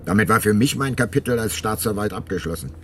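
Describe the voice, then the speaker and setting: An actor playing an ageing, remorseful detective and former prosecutor in a radio drama, narrating and talking in a measured, reflective way. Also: male voice, on the low side, expressive, fairly bright tone, slight background noise. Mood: confessional. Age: older. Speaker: narrator and lead character.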